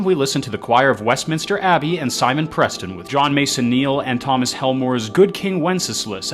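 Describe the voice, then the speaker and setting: A man presenting on radio, reading scripted credits in a formal, precise, orderly delivery. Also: medium pitch.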